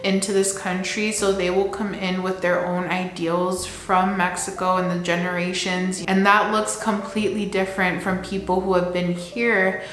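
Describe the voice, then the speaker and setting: Flat-toned candid woman counselor. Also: on the low side, moderate pace, hardly any pauses, echoey room, a little hiss or hum. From Texas border region, US. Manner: candid, conversational, slips in Spanish words. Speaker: licensed counselor. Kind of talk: casual talk to camera. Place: indoor home room with plants and shelves.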